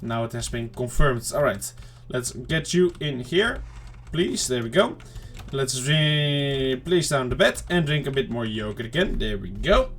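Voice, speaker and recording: A man giving casual, rambling let's-play commentary to viewers, his throat slightly off and clearing it now and then. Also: medium pitch, lively intonation, a little slow, hardly any pauses, fairly bright tone. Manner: rambling, chatty self-talk to viewers. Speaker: gaming channel host.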